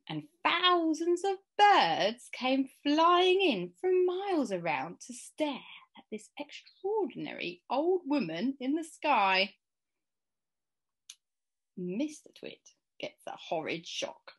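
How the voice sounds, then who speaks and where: A woman in her thirties reads a children's storybook. She takes it very slowly, with dramatic pauses and a lively, varied pitch. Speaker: school staff member reading aloud. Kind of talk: expressive children's storybook narration. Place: small indoor room at home.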